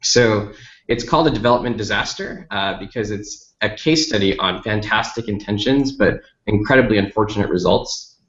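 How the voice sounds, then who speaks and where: An American man with a fairly low voice explains things as an interview guest. He is articulate and methodical, building his account step by step. The sound is clearly roomy and a little dull. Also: normal speed, fairly bright tone. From Dallas, United States. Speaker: company founder, interview guest. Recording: roomy, slightly dull lo-fi audio.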